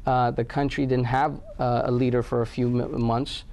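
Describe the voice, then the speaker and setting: A man's voice: mid-range voice, little pitch movement, normal speed, a little mellow. A man from Pakistan answering interview questions about his company, with many fillers and restarts. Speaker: guest, company head.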